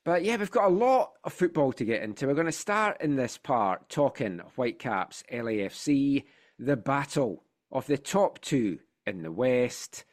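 A man's voice: mid-range voice, moderate pace. A middle-aged man with a Scottish accent, hosting a casual football podcast, chatty and playful, sounding a bit congested from a sinus infection. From Scotland. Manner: chatty, animated storytelling with playful asides. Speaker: podcast host.

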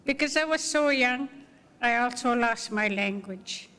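An older woman from Arctic Canada giving measured personal testimony as a guest speaker. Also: fairly high pitch, bright, forceful tone, clear, resonant tone. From Arctic northern Canada. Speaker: guest speaker, residential school survivor. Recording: long reverb of public-address sound.

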